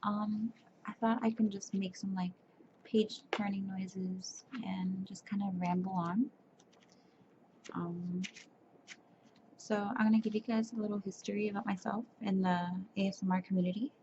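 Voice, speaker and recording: A young woman speaking softly for ASMR, tired and sleepy, who stumbles over words and corrects herself as she reads aloud. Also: medium pitch, fairly even intonation, moderate pace. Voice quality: soft, breathy. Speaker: ASMR creator. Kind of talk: soft-spoken ASMR chat and reading aloud. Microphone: webcam. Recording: dull lo-fi webcam audio with faint hiss.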